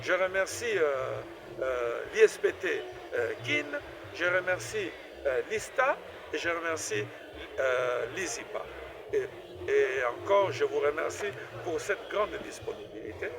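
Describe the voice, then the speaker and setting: A middle-aged man from Congo answers interview questions at a public event, pitched a little above the usual. He keeps stalling on fillers and repeating small words before going on. The recording is noisy, with vehicles heard behind him. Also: lively intonation, measured pace, vocal fry. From Democratic Republic of the Congo. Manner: hesitant, many fillers and stuttered repeats. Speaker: professor and inventor interviewed at a forum.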